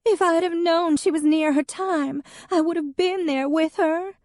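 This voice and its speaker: A woman's voice: very high voice, animated, slow, darkish tone, dry recording, clean studio sound, voice pushed up, exaggerated voice. A woman reading an audiobook, voicing a weepy, clingy young woman who wails dramatically. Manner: sobbing, wailing.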